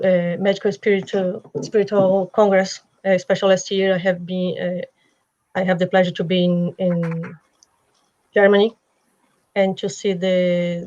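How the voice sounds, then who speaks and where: Woman host on a webcam call, flat delivery, lots of uhs, muffled lo-fi sound. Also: mid-range voice. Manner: halting, with many fillers and restarts. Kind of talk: video call panel discussion. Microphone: video call webcam.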